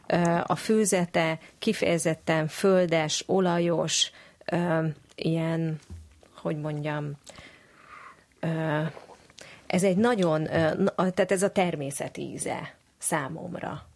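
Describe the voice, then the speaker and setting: A woman's voice: low-pitched, fairly even intonation, a little mellow. A woman explaining casually as a studio guest, hesitant and searching for words. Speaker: studio guest, tea expert.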